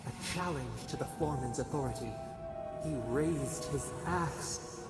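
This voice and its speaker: An actor voicing an ancient collective nature spirit in a fantasy game, solemn and mournful, with a gravelly, portentous, incantatory delivery that echoes under music. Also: male voice, medium pitch. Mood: grave, reproachful. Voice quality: gravelly, creaking. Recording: cavernous echo, distant, under loud music.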